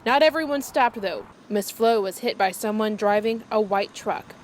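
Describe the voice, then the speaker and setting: A woman's voice: medium pitch, normal speed, slight background noise. A woman television reporter reading scripted narration for a news package.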